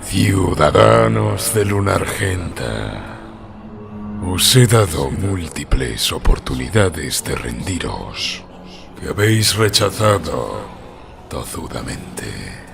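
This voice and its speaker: Deep, gravelly undead villain prince. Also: male voice, big pitch swings, full character voice. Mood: scornful. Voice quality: gravelly, booming, icy. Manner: slow, solemn, threatening. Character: cold fallen prince, undead dark conqueror, menacing. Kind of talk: radio drama acting. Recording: music behind the voice.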